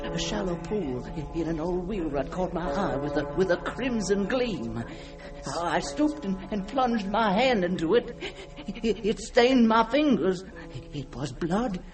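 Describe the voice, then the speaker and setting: An actor playing a haunted, guilt-ridden man who recounts a nightmare in a halting, stammering, theatrical way, as in an old-time radio mystery. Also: high-pitched. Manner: halting, stammering, theatrical delivery with repeated words. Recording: old radio drama with music underneath.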